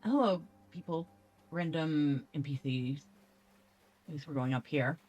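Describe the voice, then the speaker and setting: A woman's voice: deep voice, unhurried, a little mellow, faint music behind. A woman hosting a casual let's play, thinking aloud playfully as she goes. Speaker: gaming video host.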